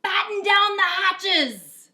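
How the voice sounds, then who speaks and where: A woman voicing a blustering male pirate captain from a storybook, bellowing in drawn-out, old-fashioned pirate talk. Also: very high-pitched, bright, forceful tone, pitched above the performer's own voice, heavily acted. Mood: urgent shouting. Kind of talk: storybook character voice.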